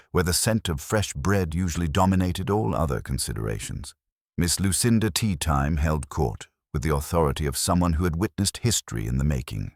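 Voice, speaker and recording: Very deep male story narrator. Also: dry recording, studio quality. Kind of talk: scripted narration of a cosy British mystery story.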